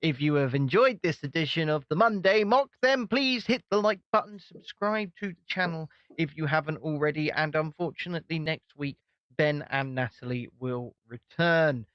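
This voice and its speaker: Brit guy co-hosting, kinda high voice, upbeat, muffled dry lo-fi sound. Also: moderate pace, a bit bright, dry recording. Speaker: co-host. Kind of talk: casual commentary and show sign-off. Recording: dull, dry lo-fi recording.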